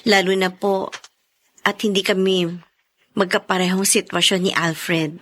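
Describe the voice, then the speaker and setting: A woman's voice: mid-range voice, steady tone, measured pace, fairly bright tone, close to an everyday voice. A woman playing a polite, patient young girlfriend in a Filipino radio drama, deferential and earnest. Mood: earnest, understanding. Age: young adult.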